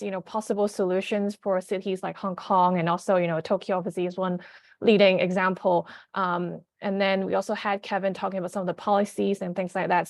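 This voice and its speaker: A woman moderating a conference panel, talking casually with many "you know" fillers as she hands over to the next speaker. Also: medium pitch.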